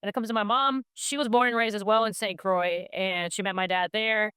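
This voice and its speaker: Upbeat woman with a smooth, dry voice. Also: mid-range voice, fairly even intonation, fairly quick, sharp tone, dry recording. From United States. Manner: upbeat and chatty, addresses viewers directly. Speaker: racing driver and vlogger. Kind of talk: casual vlog talk to camera. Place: race shop garage.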